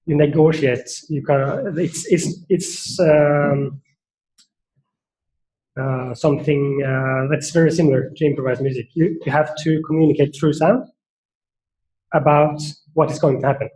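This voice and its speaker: A man's voice: fairly high pitch, steady tone, measured pace, a little mellow, low-quality recording. A man with a Norwegian accent giving an academic seminar talk, thoughtful and hesitant, with many fillers and restarts. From Norway. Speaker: seminar speaker.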